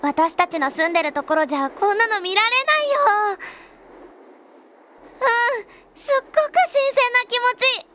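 A woman playing a sweet, earnest little sister in an audio drama, talking eagerly and gently to her big brother. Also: extremely high pitch, moderate pace, background noise. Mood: excited, delighted. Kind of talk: Japanese audio drama acting.

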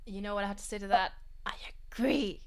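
A woman's voice: medium pitch, fast, smooth. A woman in her twenties from Birmingham, co-hosting a podcast over a video call, chatting in a bubbly way and laughing often. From Britain, Birmingham. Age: 20s. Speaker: podcast co-host.

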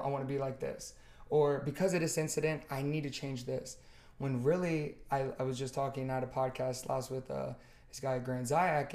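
A man's voice: medium pitch, a little mellow. Earnest rambling man in an echoey room. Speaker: podcast host and coach. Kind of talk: casual conversation.

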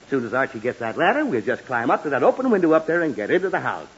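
A man's voice: medium pitch, big pitch swings, rapid-fire, highs cut off, a little hiss or hum, close to an everyday voice. An actor playing a self-important, exasperated middle-aged father who sputters through a 1940s radio comedy, on an old broadcast recording. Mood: eager, boastful.

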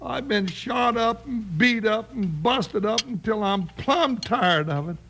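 High, creaky, whiny cowboy sidekick. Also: male voice, animated, slow, exaggerated voice. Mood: grumbling. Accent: American. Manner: complaining, folksy drawling grumble. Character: whiny, put-upon cowboy deputy sidekick, worn out. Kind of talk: 1950s Western radio acting. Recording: noisy old radio recording.

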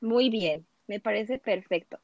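A woman's voice: mid-range voice, measured pace, a little mellow, dry recording. Woman, podcast host, chatty, fillers and restarts, dull dry recording. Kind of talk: casual podcast chat.

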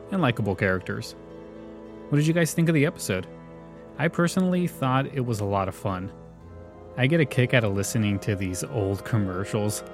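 A man's voice: on the low side, darkish tone. A man hosting a podcast, talking casually and directly to his listeners. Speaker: podcast host.

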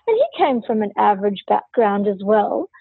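A woman's voice: medium pitch, big pitch swings, moderate pace, gravelly, husky, no room sound, phone-quality sound. A woman who is a podcast guest, telling her personal story candidly and forthrightly in an interview.

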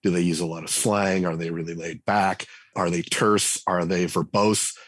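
Interview guest, male, very low voice, loose and chatty, lots of "uh" and restarts. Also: normal speed, slightly rough, no echo, studio quality. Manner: relaxed, thinks aloud with many fillers and restarts. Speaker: game writer and designer, guest. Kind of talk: casual interview conversation.

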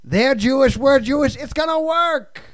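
A man's voice: very high voice, normal speed, a bit bright, no room sound, studio quality, voice pushed up. A man voicing a fretful, nasal-sounding man who pleads anxiously about keeping money in the bank.

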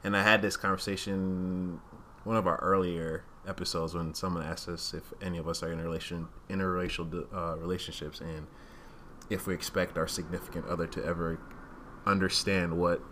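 A man's voice: low-pitched, level tone, moderate pace, fairly bright tone, slight background noise. A man speaking casually and candidly as a podcast co-host, thinking aloud and often hedging as he reasons through his points. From Uganda-born, raised in Rwandan culture.

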